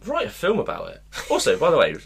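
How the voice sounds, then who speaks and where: A man's voice: fairly high pitch, gravelly, a bit bright, husky. A man co-hosting a casual podcast, rambling through football stories with lots of filler words. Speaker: podcast co-host.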